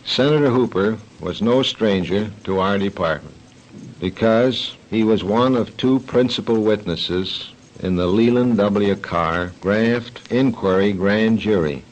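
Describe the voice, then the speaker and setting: Low-voiced, formal male police officer. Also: measured pace. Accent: American. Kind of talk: read official statement on radio. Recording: dull 1940s radio recording.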